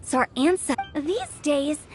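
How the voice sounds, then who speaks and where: A woman's voice: sits high, animated, a little slow, soft background music. A woman playing a cheeky young funeral parlour director in a video game, youthful, theatrical, wordy and teasing. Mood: explanatory. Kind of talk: video game character acting.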